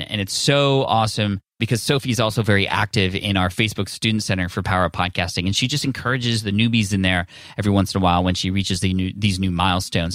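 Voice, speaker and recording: A man's voice: fairly low pitch, no echo, clean studio sound. A man in his thirties hosting a podcast, upbeat and enthusiastic. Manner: enthusiastic, excitable. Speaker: podcast host.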